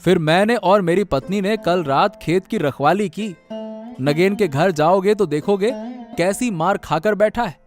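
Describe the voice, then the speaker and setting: A man's voice: sits high, unhurried, faint music behind. A man playing a righteous, protective village uncle in a Hindi cartoon story. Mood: earnest.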